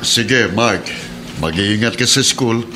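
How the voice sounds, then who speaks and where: A man's voice: on the low side, takes it slowly, over music, rough recording. An actor playing a kind, protective middle-aged Filipino policeman and adoptive father in a radio drama. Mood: fond. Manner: earnest, encouraging, heated when challenged.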